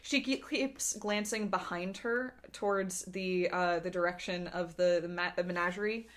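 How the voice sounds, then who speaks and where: A woman's voice: fairly low pitch, fairly even intonation, normal speed, fairly bright tone. A woman narrating as game master of a tabletop game, improvising in a casual, conversational way.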